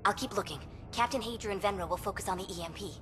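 An actress playing a determined female alien officer in a sci-fi game, with a brisk, businesslike delivery. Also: mid-range voice, background noise, natural voice. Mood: urgent, businesslike. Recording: echoing hall, music underneath.

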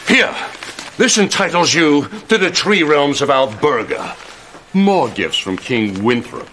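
An actor playing a middle-aged, scheming royal chancellor in a comic video game, smug and theatrical with drawn-out insinuation. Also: male voice, on the high side, lively intonation, background noise. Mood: oily, smug.

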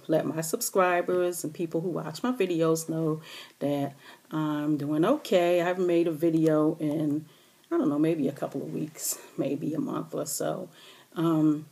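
A hoarse woman with a low, mellow voice talks softly into a close webcam in a small bedroom. She rambles with plenty of fillers and keeps her voice down. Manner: talking low and hushed, rambling with many fillers. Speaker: vlogger. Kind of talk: casual direct-to-camera update. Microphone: webcam or laptop camera close up.

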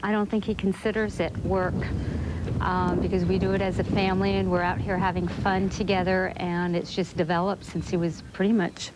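American woman, lowish even voice, casual interview, loud background noise outdoors. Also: moderate pace. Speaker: parent interviewee.

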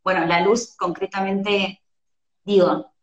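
A woman's voice: mid-range voice, flat delivery, slow, roomy sound, dull audio. A woman in her thirties with a Rioplatense Spanish accent, hosting an interview over a video call and working out her questions as she speaks. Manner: thoughtful, searching for words. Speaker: host, interviewer. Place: room at home.

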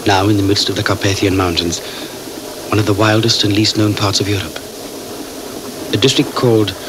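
A low-voiced, courteous gentleman traveler played by a man in an audio drama. He speaks formally and with measured care, eager and businesslike. The old cassette recording has a faint hiss. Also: close to an everyday voice. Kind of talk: radio drama acting with first-person narration. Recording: hissy 1979 cassette tape recording.

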